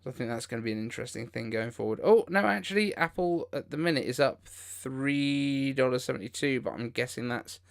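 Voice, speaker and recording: A man with a mid-pitched voice hosts a live reaction stream. He talks informally and off the cuff, with an incredulous edge to his commentary. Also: lively intonation, brisk, vocal fry, hardly any pauses. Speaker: livestream host.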